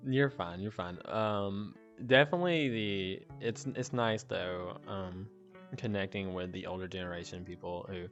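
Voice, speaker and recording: A man's voice: sits low, unhurried, fairly bright tone, muffled recording, faint music behind. Chatty laughing man in his late twenties. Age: late 20s. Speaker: host. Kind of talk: casual chat.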